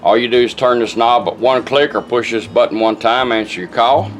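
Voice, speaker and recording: A man's voice: medium pitch, brisk, faint music behind. A man talking casually and plainly as he demonstrates a product step by step, in a folksy, instructional way. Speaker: car radio restorer demonstrating a product.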